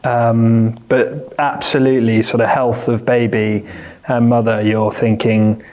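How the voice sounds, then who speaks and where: A man's voice: medium pitch, level tone, measured pace, a little mellow. A man chatting casually in a group, heard in a roomy, distant recording. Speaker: panel participant. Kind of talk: casual chat. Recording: roomy and distant, background noise.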